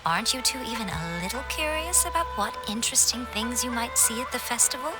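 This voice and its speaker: A sly, teasing woman from a game cutscene, played by an actress. Her voice sits fairly high and swings playfully as she persuades with measured eloquence, over clear background music. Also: animated, lightly acted. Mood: coy persuasion. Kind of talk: video game voice acting.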